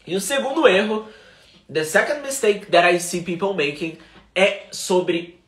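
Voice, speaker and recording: A man's voice: high-pitched, measured pace, echoey room. A young Brazilian man with a Brazilian Portuguese accent, teaching English on a livestream with energy and slipping English phrases in. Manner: energetic, mixes in English phrases, gestures a lot. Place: small room at home, indoors.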